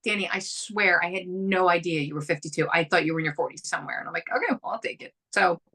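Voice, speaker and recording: A woman in her fifties co-hosting a casual chat, slightly hoarse after a cold, bubbly and laughing a lot. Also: on the low side, fairly even intonation, fast talker, pushed and bright. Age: 50s. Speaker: podcast co-host. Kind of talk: casual chat between friends.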